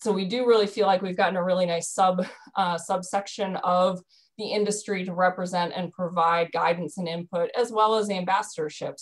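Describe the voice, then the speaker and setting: Lowish-voiced woman explaining on a video call. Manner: many ums and restarts, earnest and explanatory. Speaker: dairy program staff presenter. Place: bedroom at home. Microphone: video call webcam.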